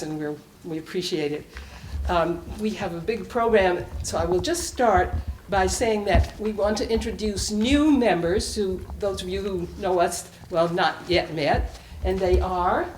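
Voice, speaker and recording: A woman hosting a live library reading, giving an informal welcome and introducing the program to the audience. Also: mid-range voice. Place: indoor library event, away from mic. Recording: distant, echoey room with noise.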